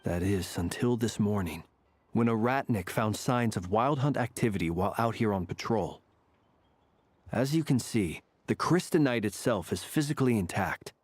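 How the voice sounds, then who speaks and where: An actor playing a serious, composed young warrior in an anime game, measured and analytical. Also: male voice, fairly low pitch, lively intonation, a little mellow, husky, no echo. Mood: grave, concerned.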